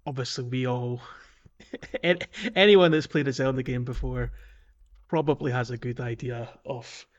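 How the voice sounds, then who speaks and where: A man's voice: mid-range voice, a little slow, muffled recording. A man hosting a podcast, talking casually about video games. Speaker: podcast host.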